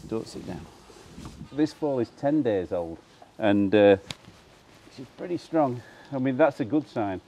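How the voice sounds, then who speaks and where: A man's voice: medium pitch, lively intonation, a little slow, warm tone, a little hiss or hum. A man in his fifties with a Yorkshire accent, a farmer presenting an informal vlog in a plain, matter-of-fact way. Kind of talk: informal vlog explaining animal care to camera. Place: large open-sided straw-bedded barn.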